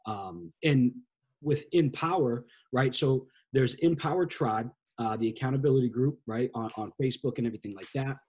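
A man's voice: mid-range voice, moderate pace, a little mellow, slightly rough. A man hosting a casual podcast interview, laid-back and easygoing, often saying "dude". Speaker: podcast host.

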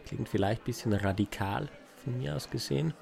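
A man's voice: medium pitch, brisk, gravelly, a crowd in the background. A man from South Tyrol with a South Tyrolean accent, chatting casually as a podcast co-host. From South Tyrol, Italy.

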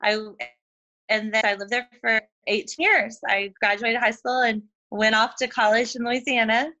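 A woman in her forties from Oklahoma, chatting casually as a guest over a video call, full of "like" fillers and quick laughs. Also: a little high, level tone, sharp tone, highs cut off. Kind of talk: casual chat.

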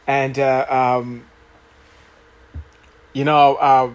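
Rwandan-accented man preaching with halting pauses. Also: mid-range voice, level tone, clean tone, low-quality recording. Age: late 30s. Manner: halting, many fillers and restarts. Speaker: guest preacher. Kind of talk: sermon testimony.

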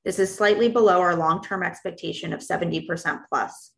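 A woman presenting company financial results as an executive, reading prepared remarks in a formal, measured way. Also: on the low side, fairly even intonation, vocal fry, a bit bright. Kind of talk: scripted earnings call presentation.

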